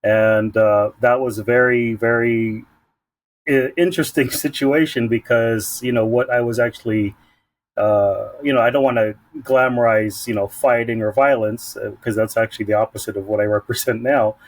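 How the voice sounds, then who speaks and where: A man from San Diego, a guest in an interview, talking about his work in a halting way with many ums and restarts. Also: mid-range voice, fairly even intonation, low-quality recording. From San Diego, United States. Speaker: community program leader, guest. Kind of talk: conversational interview.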